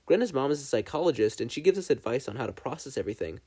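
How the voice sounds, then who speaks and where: A man's voice: medium pitch, sing-song, very fast, warm tone, husky. A man voicing a chirpy, helpful, adventurous young teenage girl, upbeat and open, in a story reading. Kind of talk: fan fiction audiobook character reading.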